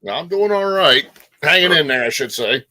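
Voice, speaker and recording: A man's voice: a little high, animated, normal speed, sharp tone. A man co-hosting in a casual, conversational style. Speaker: co-host. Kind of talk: casual chat.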